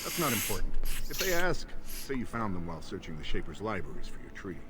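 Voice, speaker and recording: A man's voice: fairly low pitch, big pitch swings, brisk, sharp tone, faint music behind. A man playing a guarded, earnest aide to a dwarven prince in a fantasy video game, speaking formally and conspiratorially. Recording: game audio with background ambience.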